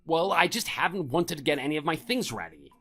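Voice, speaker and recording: A man playing an arrogant, whiny husband in an audio drama, blustering with a husky voice. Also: fairly high pitch, lively intonation, fairly quick, fairly bright tone, soft background music. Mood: whiny, stubborn. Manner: indignant, blustering, pleading. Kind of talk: amateur audio drama acting.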